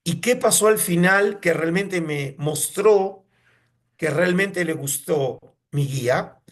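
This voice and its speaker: Higher-pitched Argentine man telling anecdotes. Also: slow. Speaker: museum curator, interview guest.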